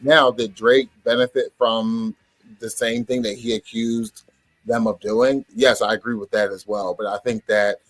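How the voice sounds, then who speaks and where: A man's voice: on the low side, little pitch movement, a little slow, no room sound, poor audio. A man on a debate panel defending his opinion casually, adding careful qualifications as he goes. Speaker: panelist on a hip-hop debate show.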